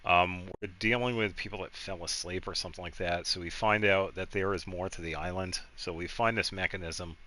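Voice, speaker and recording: A man giving casual video game commentary as he guides another player, explaining things in a halting, meandering way. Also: sits low, steady tone, sharp tone, slight background noise, rough recording.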